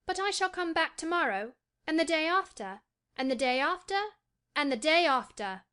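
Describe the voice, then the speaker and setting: Woman voicing a high-pitched, imperious invalid boy. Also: expressive, moderate pace, bright, forceful tone. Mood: eager, determined. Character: frail, imperious, excitable invalid boy, about ten. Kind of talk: audiobook character voice.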